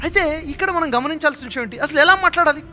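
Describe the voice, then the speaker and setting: A man's voice: very high-pitched, rapid-fire, sharp tone, soft background music. A man giving a motivational moral talk, emphatic and repetitive, with frequent rhetorical questions. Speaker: motivational speaker. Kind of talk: motivational speech with moral storytelling.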